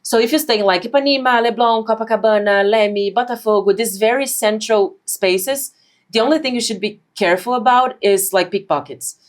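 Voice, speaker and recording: A woman's voice: a little high, fairly quick. A woman from Brazil with a Brazilian Portuguese accent, a guest chatting frankly and laughing often in a casual interview. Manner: lively, frank, laughs often, many fillers.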